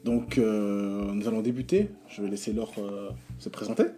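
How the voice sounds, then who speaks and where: Dark, halting French-speaking man. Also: on the low side, steady tone, soft background music. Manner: halting, many fillers and restarts. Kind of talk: hesitant casual presentation.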